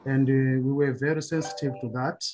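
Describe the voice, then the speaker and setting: A man's voice: medium pitch, little pitch movement, fairly quick, darkish tone, a little hiss or hum. A man from Mozambique, a Portuguese native speaker with a Mozambican accent, speaking careful, hesitant English as an epilepsy advocate on a video call. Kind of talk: online meeting talk.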